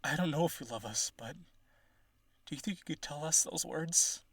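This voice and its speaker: A man's voice: on the high side, normal speed, fairly bright tone, husky, no echo. A man playing a rich, devoted vampire aristocrat in a roleplay audio, earnest and pleading, with gushing, hesitant speech. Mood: shy, pleading.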